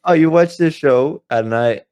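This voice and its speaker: A man's voice: fairly high pitch, unhurried, a little mellow, clear, resonant tone. A man chatting casually as a podcast co-host. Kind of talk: casual chat.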